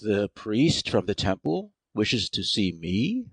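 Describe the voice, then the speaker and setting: A man's voice: on the low side, very animated, slow, breathy edge, no echo, full character voice. A man playing a poor, earnest, emotional artist with a dramatic, exclaiming delivery in an audiobook. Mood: amazed. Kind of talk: dramatic audiobook character reading.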